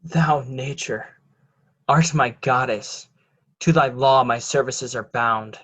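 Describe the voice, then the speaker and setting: A man playing a scheming, resentful illegitimate son in a deliberate, declamatory Shakespearean drama reading. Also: medium pitch, fairly bright tone. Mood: bitter, defiant. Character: scheming, resentful bastard son, smooth deceiver.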